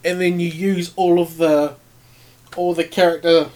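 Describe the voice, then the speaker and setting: A man co-hosting a podcast, halting and easily amused, often restarting his sentences. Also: on the high side, little pitch movement, darkish tone. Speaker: podcast co-host. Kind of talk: casual podcast banter.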